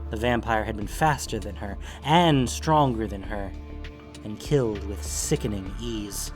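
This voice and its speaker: A man reading scripted story narration with dramatic pauses and deliberate phrasing. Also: medium pitch. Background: music.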